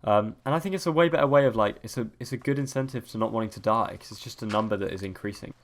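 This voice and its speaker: A young man with a cold, sniffly and croaky, rambling through casual gameplay commentary as a let's-play host. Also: lowish voice, quick pace. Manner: rambling, many ums and restarts.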